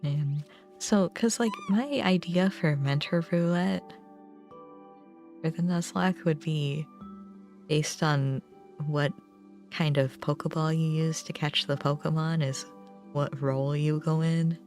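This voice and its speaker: A woman's voice: low voice, slow pace, a little mellow, faint music behind. A woman livestreamer chatting casually with viewers in a rambling, self-deprecating way. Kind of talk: casual gaming stream chat.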